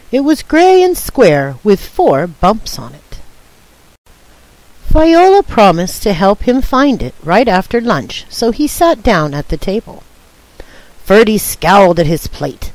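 A woman reading a children's picture book aloud in an expressive storytelling style. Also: mid-range voice, unhurried, dry recording, rough recording. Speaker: storybook reader.

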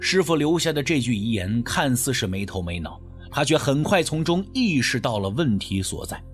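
Animated male suspense audiobook narrator. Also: medium pitch, moderate pace, soft background music. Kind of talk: dramatic scripted suspense narration.

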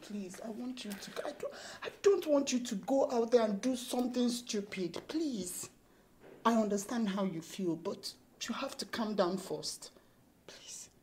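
An actress as a worried, protective woman, higher-voiced. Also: lively intonation, moderate pace, warm tone, a little hiss or hum, natural voice, rough recording. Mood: pleading. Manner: pleading, urgent, repeats words. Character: worried, protective woman calming a volatile man. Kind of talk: naturalistic film drama acting.

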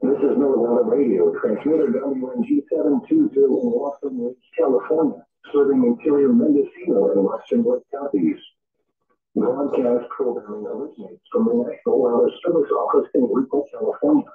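A man's voice: medium pitch, sing-song, a little fast. Synthetic male weather radio voice, echoey and dull. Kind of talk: automated scripted weather forecast reading. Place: radio speaker playing in a home room.

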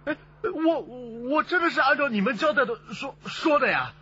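Jittery stammering snitch in a radio crime drama, high bright voice, bit muffled. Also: male voice, expressive, moderate pace, a little hiss or hum, full character voice. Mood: anxious, flustered. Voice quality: heavy stutter. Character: nervous stuttering male informant, bumbling and eager.

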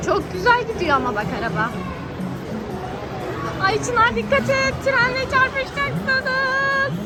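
A woman's voice: very high-pitched, lively intonation, measured pace. A mother cheerfully filming her children, talking to them in a playful, affectionate, teasing way. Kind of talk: casual family vlog commentary. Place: busy shopping mall. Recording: loud crowd and music behind.

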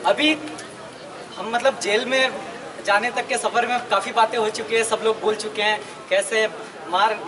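A man in his twenties with an Indian accent gives a political protest speech outdoors, earnest and emphatic, with halting phrasing. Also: sits high, steady tone. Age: 20s. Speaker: student activist speaker. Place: outdoors at night at an open protest gathering. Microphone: handheld microphone, recorded by camera at a distance. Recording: distant outdoor public-address sound, crowd noise. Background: crowd.

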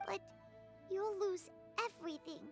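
A woman voicing a tiny, excitable, fretful childlike fairy companion in a video game, squeaky and emotive. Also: measured pace, music in the background. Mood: distressed, pleading. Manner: emotive, exclamatory. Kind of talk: scripted cartoonish video game voice acting.

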